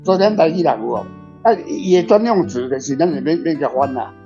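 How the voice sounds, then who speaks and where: An older Taiwanese man speaks in a fairly high but very mellow voice. He is opinionated and emphatic, repeating points as he chats about literature. The recording is slightly dull. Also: animated, quick pace. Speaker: author and discussion guest. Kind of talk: casual literary discussion. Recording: dull lo-fi, music behind.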